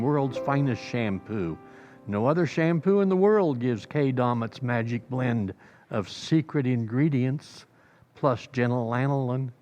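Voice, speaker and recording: Male American radio announcer, old-time commercial style, very animated pitch, measured pace, slightly dull sound, faint music.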